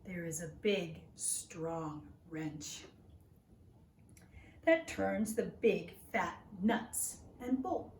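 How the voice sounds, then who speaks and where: A woman reading a children's story aloud like a teacher, with deliberate, simple phrasing for small children. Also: on the low side, animated, darkish tone. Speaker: teacher reading a picture book to young children. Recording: roomy echo, distant mic, faint noise.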